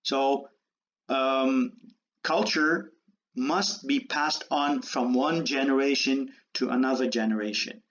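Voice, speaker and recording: A man born and raised in the Netherlands giving a university lecture, halting with many fillers and restarts. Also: mid-range voice, fairly even intonation, a bit bright. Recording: dull lo-fi lecture audio.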